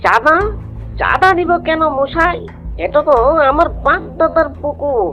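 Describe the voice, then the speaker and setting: A woman's voice: high-pitched, a little slow, fairly bright tone. A woman voicing a sly, hardworking jackal shrimp seller in a Bengali cartoon, with a sing-song vendor's delivery. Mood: indignant, firm. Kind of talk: Bengali cartoon character dialogue. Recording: dull lo-fi audio with music behind.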